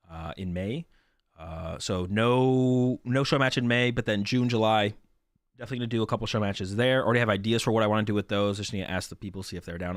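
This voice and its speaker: Young male streamer, low voice, sick and worn, relaxed rambling host, dry close mic. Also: no echo. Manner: relaxed, rambling, repeats himself. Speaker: streamer hosting a card game show match. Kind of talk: casual stream chat.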